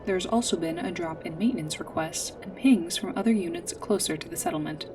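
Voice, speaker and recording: A woman playing a polite android guide in a sci-fi ASMR roleplay, speaking in a formal, precise, measured way. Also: lowish voice, distant mic, close to an everyday voice. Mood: matter-of-fact. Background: music.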